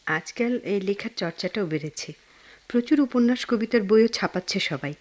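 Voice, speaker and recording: An actress with a Bangladeshi accent playing an earnest, devoted, quietly lonely woman, with soft, heartfelt radio drama delivery. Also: mid-range voice, lively intonation, normal speed, natural voice. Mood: earnest, admiring. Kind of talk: Bengali radio drama acting.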